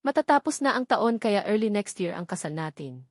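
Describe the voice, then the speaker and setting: A woman's voice: medium pitch, very animated, fairly quick, dry recording, studio quality. A woman with a Filipino accent reading a scripted first-person novel as an audiobook narrator.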